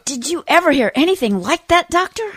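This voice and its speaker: Worn-out, sharp-tongued wife played by a woman for vintage radio comedy; wry complaints, slightly high voice. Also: expressive, brisk, no echo, studio quality. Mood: exasperated, wry. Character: long-suffering, sharp-tongued wife worn out by snoring husband. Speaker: community theatre actor. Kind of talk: 1940s radio comedy acting.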